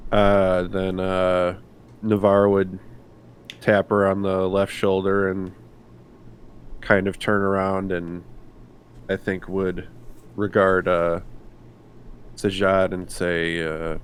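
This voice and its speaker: Male tabletop player, deep voice, unhurried halting delivery, faint background noise. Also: completely flat delivery. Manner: deliberate, halting. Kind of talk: describing his character's actions. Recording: noisy room recording.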